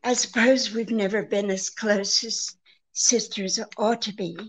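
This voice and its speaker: An actress playing an anxious, genteel woman pleading for help in a 1940s-style radio drama, speaking haltingly. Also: medium pitch, steady tone, close to an everyday voice, poor audio. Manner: hesitant, halting, faltering.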